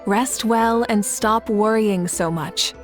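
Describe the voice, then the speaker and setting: A woman's voice: medium pitch, takes it slowly, soft background music, lightly acted. A woman voicing a confident, composed young woman leader in a video game, speaking reassuringly. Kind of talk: video game character acting.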